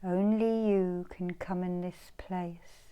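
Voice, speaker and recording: Slow, soothing woman guiding meditation. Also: fairly low pitch, fairly even intonation, clear, resonant tone, lo-fi sound. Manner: soothing, gentle instruction with repeated phrases and pauses. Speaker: meditation teacher leading a guided visualisation. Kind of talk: guided meditation.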